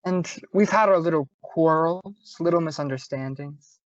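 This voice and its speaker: A woman's voice: deep voice, moderate pace, no room sound, natural voice. A young woman playing an easygoing, teasing male homicide inspector with a casual manner, in old-time radio style. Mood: earnest, hesitant. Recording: dull lo-fi audio, very dry.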